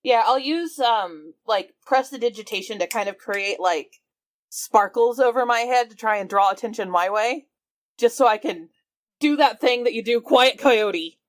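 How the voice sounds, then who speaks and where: A woman playing a tabletop game, casually describing her character in a lively way and swearing freely. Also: fairly high pitch, normal speed. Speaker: player.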